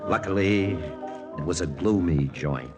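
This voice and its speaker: An actor playing a hardboiled, wry lieutenant in a 1940s radio spy drama, talking in a terse, deadpan way. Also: male voice, deep voice, unhurried. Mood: tense, terse. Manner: terse, deadpan first-person narration. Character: hardboiled American lieutenant, wry, jealous, narrating his own spy story. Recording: old radio recording with music underneath.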